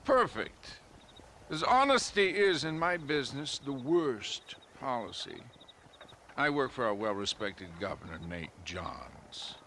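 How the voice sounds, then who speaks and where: A man playing a slick, smooth-talking political fixer in a video game, florid and wordy like a salesman. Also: medium pitch, very animated, slow, sharp tone, slight background noise, full character voice. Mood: ingratiating. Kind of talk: theatrical video game acting.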